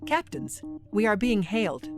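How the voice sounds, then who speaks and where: A woman playing a logical starship bridge officer in an audio drama, formal and precise, with loud music underneath. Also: medium pitch, big pitch swings, normal speed, lightly acted. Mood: formal, alert. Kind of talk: science fiction audio drama acting.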